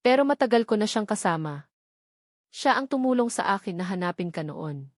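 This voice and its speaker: A woman narrator puts on a protective lover in an audio love story. He is worried but keeps himself calm and grave. The recording is dry and close. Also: mid-range voice, brisk, no echo, close to an everyday voice. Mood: forced calm. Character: worried, protective man, policewoman's former lover.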